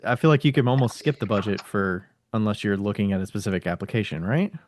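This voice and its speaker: A man's voice: lowish voice, fast talker, slight background noise. A man hosting a podcast, asking questions in a casual, conversational way. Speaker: podcast host interviewing a guest.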